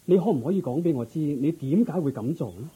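A man playing a dignified, courteous and curious king in a radio drama, with stately, expressive theatrical delivery. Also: fairly high pitch, fast talker, breathy edge. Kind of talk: Cantonese Buddhist radio drama acting.

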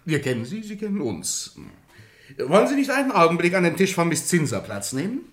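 A man's voice: sits high, sing-song, a little fast, roomy sound. An actor in a radio play voicing a jovial, condescending famous male writer with an effusive, theatrical manner. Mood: gracious, inviting. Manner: effusive, mock-gracious, theatrical. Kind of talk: radio play acting in German.

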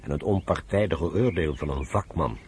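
An actor playing a frank, fatherly military adviser in a Dutch radio play, with a measured way of advising. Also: a bass voice, darkish tone, slight background noise. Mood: frank, grave.

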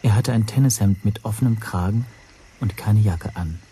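An actor playing an observant language teacher who narrates his story in a measured, dryly descriptive way for a radio drama. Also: lowish voice, mellow, a little hiss or hum, lightly acted.

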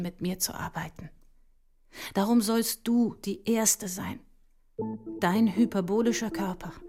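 An actress playing a visionary woman mathematician, solemn and urging, with husky, breathy speech in a poetic audio drama. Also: medium pitch, natural voice. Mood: solemn, commanding. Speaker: performer in an experimental audio drama. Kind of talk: poetic scripted dialogue.

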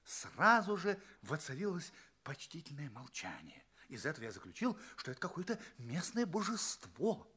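Animated, highish-voiced space traveller. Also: male voice, moderate pace, few pauses, slightly rough, exaggerated voice. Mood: wry storytelling. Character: male space traveller recounting his adventures, earnest, polite. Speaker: narrator and lead actor. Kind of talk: theatrical first-person audio play narration.

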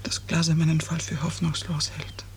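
Eine Schauspielerin spielt in einem Hörspiel der fünfziger Jahre eine schwerkranke Frau, eindringlich, bitter und wehmütig. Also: female voice, deep voice, little pitch movement, normal speed, a little mellow. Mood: quiet, sorrowful. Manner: intense, reproachful, wistful. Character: seriously ill woman patient, bitter, searching, wanting the truth. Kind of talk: 1950s German radio drama acting. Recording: noisy early 1950s radio recording.

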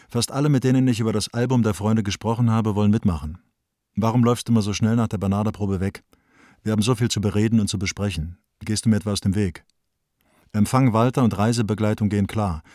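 Actor plays encouraging bohemian male letter writer, radio drama; lowish pitch, colloquial, dry studio sound. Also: fast talker, darkish tone, dry recording, lightly acted. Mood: urging, familiar. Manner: colloquial, urging. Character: brisk, encouraging bohemian man writing to woman friend. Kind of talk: radio play letter monologue.

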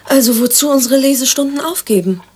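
A woman's voice: high-pitched, fairly quick, a little mellow, a little hiss or hum, natural voice. An actress playing a cool, wry, self-regarding female partner in a German radio play, with a dry, clipped, ironic delivery.

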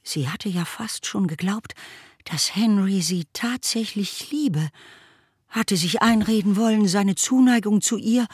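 Eine Frau erzählt als Sprecherin eines literarischen Hörspiels, getragen und ausdrucksvoll. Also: female voice, mid-range voice, unhurried, a little mellow, breathy edge, no room sound, studio quality. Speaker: narrator. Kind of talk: scripted literary narration in a radio drama.

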